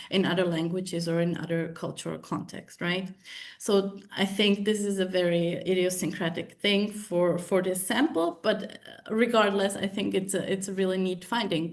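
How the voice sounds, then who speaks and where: Woman, researcher presenting findings, halting academic delivery. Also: medium pitch. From Czech Republic. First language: Czech. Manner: hesitant, many fillers and restarts.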